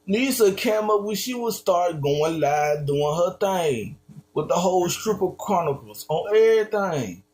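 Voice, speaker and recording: A man's voice: high voice, expressive, unhurried, darkish tone. A man streaming, reacting to a video with slangy, incredulous casual commentary.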